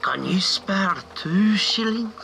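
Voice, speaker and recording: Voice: medium pitch, lively intonation, slow pace, vocal fry, very noisy. An actor playing a greedy, pleading male street urchin in a comic radio play, wheedling and pushy. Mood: wheedling, grateful.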